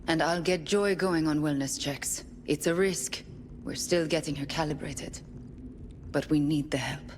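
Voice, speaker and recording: A woman playing a composed, probing therapist in a space colony for a game audio log, speaking in a calm, clinical, measured way. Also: sits low, in a large hall, a little hiss or hum. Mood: reflective, concerned. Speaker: doctor, therapist.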